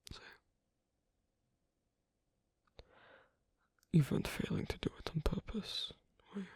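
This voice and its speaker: An actor voicing an asocial, awkward but patient werewolf in an ASMR roleplay, speaking softly in halting, clipped phrases with a breathy, gravelly voice. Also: no echo, natural voice. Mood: shyly teasing, gentle. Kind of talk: ASMR roleplay, soft close speech.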